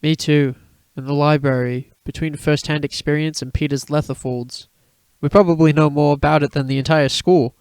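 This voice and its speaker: A man's voice: a little high, steady tone, normal speed, darkish tone, clean tone, no echo, pitched above the performer's own voice, lightly acted. A man playing a brash, level-headed teenage boy wizard in a dramatic story reading. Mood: thoughtful.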